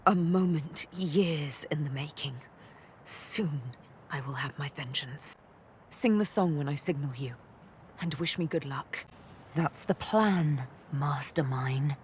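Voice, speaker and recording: An actress voicing a vengeful, seductive elf assassin in a video game, deliberate and teasing, often murmuring. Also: female voice, low voice, a little mellow, a little hiss or hum. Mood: vengeful, hushed.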